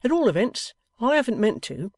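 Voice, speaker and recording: A reader voicing a kindly, plain-spoken older gentleman who talks in an earnest, confidential way. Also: mid-range voice, sing-song, a little fast, no echo, studio quality, pitched above the performer's own voice.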